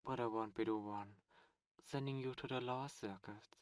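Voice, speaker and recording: A man voicing a seductive ancient demon prince, a fallen angel, speaking softly and slowly in an ASMR roleplay. He coaxes and tempts, close to the microphone. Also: mid-range voice, fairly even intonation, clean tone, dry recording, lightly acted. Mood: tempting. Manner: soft, slow, coaxing and tempting.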